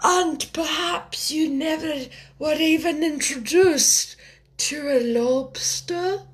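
A woman's voice: sits high, pitched above the performer's own voice. A woman voicing a melancholy male turtle creature in a children's storybook, drawing out the words with wistful emotion. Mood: mournful, wistful. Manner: slow, drawn-out, emotional delivery. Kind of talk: children's storybook character reading.

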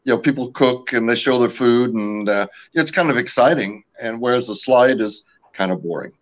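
A man's voice: mid-range voice, normal speed. A man from California, raised speaking French at home, talks casually about his company's products in an interview. He rambles and stops often to fill gaps with "uh" and "you know". The recording is dull and lo-fi. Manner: rambling, many fillers and restarts. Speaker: company representative.

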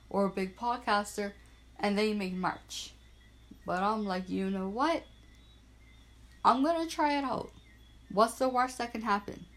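A young woman from Bermuda hosting her own small podcast, chatting in an upbeat, self-deprecating way and spelling things out slowly. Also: fairly high pitch. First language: Portuguese and English bilingual. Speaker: podcast host. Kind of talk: casual solo podcast chat. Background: frogs and crickets at night.

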